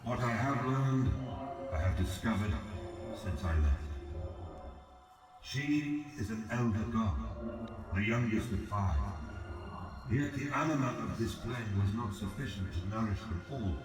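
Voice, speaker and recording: A man voicing an aloof, imperious ancient god in a video game, speaking in a solemn, formal and deliberate way. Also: lowish voice, lively intonation. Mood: aloof, reflective. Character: ancient exiled male god-emperor, aloof, measured, imperious. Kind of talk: scripted video game dialogue. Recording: echoing, distant voice over music.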